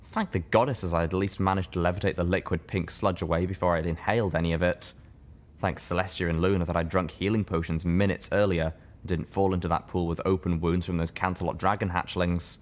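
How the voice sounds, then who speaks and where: A man's voice: low voice, fairly even intonation, brisk. A man reading a scripted first-person story aloud as its narrator. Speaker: fan fiction reader, narrator.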